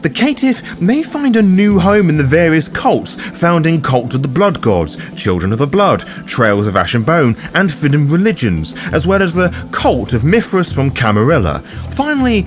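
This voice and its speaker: Higher-pitched man narrating with lively, swooping delivery. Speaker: host of a tabletop roleplaying guide. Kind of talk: scripted narration, explainer video.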